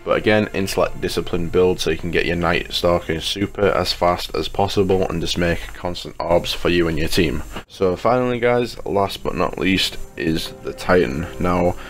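A man's voice: deep voice, moderate pace, few pauses. A man giving a casual, chatty video game guide as a YouTube host, sounding slightly congested with a cold. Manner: informal, chatty, addresses viewers as guys. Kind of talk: casual video game guide commentary over gameplay menus. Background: music.

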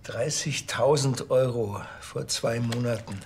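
Sardonic Bavarian small-town police officer, gravelly, grumbling, screen crime comedy. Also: male voice, mid-range voice, slow, a little hiss or hum. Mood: dry. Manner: dry, grumbling sarcasm.

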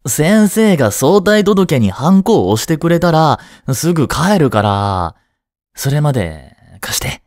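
Man playing a lively, cheeky slacker schoolboy. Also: fairly high pitch, measured pace, no echo, clean studio sound. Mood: lazy, wheedling. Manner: casual, teasing, slangy, blunt. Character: cheeky, laid-back slacker high-school boy. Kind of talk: Japanese romantic audio drama acting.

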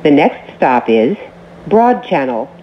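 A woman reading scripted transit announcements as a train announcer, in short, measured, formal phrases. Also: low-pitched, very animated. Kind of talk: scripted automated station announcements. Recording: muffled recording with train noise.

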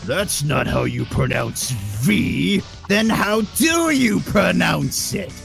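A man voicing loud, goofy cartoon characters in an amateur comic dub. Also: a little high, sing-song, slow, gravelly, soft background music, heavily acted.

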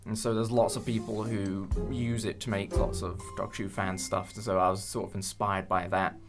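A young man chatting casually in an interview, hesitant and rambling with many pauses. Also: fairly low pitch, little pitch movement. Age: young adult. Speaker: creator of a fan audio drama. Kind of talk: casual behind-the-scenes interview. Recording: light music bed under speech. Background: background music with guitar.

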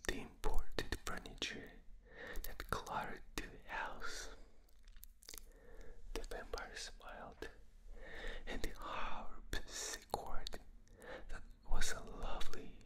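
A man with a non-native English accent whispering a book aloud for binaural ASMR, reading with small slips and restarts, recorded in a dark room at night. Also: slow pace. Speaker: reader. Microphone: binaural microphone.

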